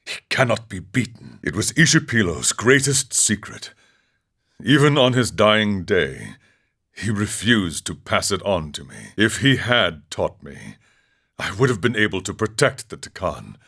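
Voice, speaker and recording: A grave warrior monk from a fantasy video game, with a very low voice. His delivery is measured and philosophical, yet the melody moves widely, swelling and sinking. He carries himself as a proud, regretful seeker. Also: big pitch swings, a bit bright, slightly rough, full character voice. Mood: solemn, regretful. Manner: measured, philosophical, speaks of himself in third person. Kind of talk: scripted video game character dialogue.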